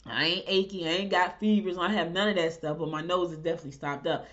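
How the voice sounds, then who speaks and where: A woman hosting a podcast, chatting in a rambling, animated way. She sounds congested, with a stuffy nose. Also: lowish voice, fast, muffled recording. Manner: rambling, animated, talks to listeners directly. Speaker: podcast host. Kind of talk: casual chatty monologue.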